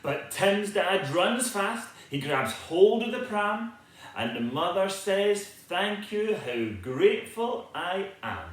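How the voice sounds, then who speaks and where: A man reads a picture book to children in a high, swooping voice. His delivery is slow and theatrical, with a sing-song lilt that invites listeners to join in. The room around him rings with heavy echo. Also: very animated, fairly bright tone. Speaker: storybook reader. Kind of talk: animated children's picture-book read-aloud. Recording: very echoey, reverberant room.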